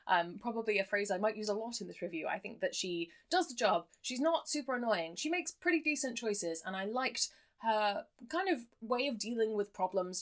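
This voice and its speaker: A woman giving a casual, chatty review with wry understatement, speaking as a reviewer to her audience. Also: on the high side. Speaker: book reviewer. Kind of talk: casual scripted-free book review to camera.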